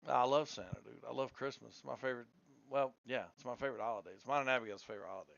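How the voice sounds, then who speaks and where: A man's voice: medium pitch, racing, creaky, a bit bright, dry recording. Insistent Southern man arguing his case. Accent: Southern American. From Southern United States. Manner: insistent, argumentative, repeats himself for emphasis. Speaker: debater. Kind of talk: heated online debate. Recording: dull lo-fi sound.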